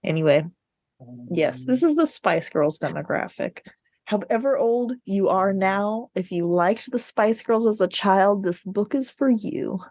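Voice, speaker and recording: A woman's voice: mid-range voice, expressive, unhurried, darkish tone, no room sound, clean studio sound. A woman podcast host in a casual book discussion, speaking in a blunt, informal, sweary way.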